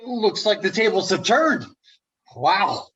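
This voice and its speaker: A man playing an awkward, earnest reluctant hero with halting, dry understatement in a comedic script reading. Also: high-pitched, very animated, fairly bright tone, dull audio, slight background noise, full character voice. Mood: cocky. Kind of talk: comedic script table read.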